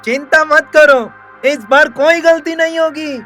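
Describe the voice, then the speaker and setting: An actor plays an excitable little doctor of the jungle who invents potions in a cartoon. The voice is high and eager, exclaiming and reassuring, with music behind it. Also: measured pace. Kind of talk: animated children's story dialogue. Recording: music under the voice.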